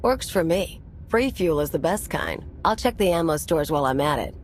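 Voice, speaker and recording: A woman's voice: deep voice, animated, normal speed, a little hiss or hum. A woman playing an easygoing, wry crew companion in a video game, with a casual manner of talking. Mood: breezy. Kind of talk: video game cutscene acting.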